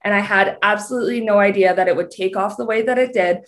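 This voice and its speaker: A woman's voice: mid-range voice, fast talker, fairly bright tone. A young adult woman with a Canadian accent, a podcast guest chatting candidly and laughing as she tells her story. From Canada, British Columbia. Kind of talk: casual chat.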